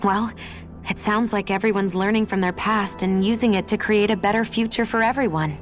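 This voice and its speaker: An actress playing a guarded, reflective young heroine in a video game, speaking thoughtfully and earnestly. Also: female voice, mid-range voice, fairly even intonation, brisk, lightly acted. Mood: gentle, encouraging. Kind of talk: dramatic video game cutscene acting. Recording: music playing behind the voice.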